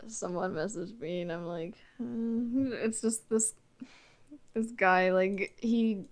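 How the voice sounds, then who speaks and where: A woman talks casually as a guest on a podcast. Her voice sits at a medium pitch, and she is informal and giggly, with "like" scattered through her sentences. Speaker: podcast guest, amateur voice actor. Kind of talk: casual chat.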